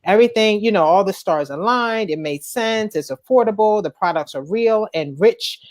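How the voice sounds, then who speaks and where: A lively woman host talks in a casual chat and laughs often. She puts on quick voices when she quotes other people. Her voice sits in the middle of the usual range. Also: medium pitch. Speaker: coach and host. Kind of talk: casual live-stream chat.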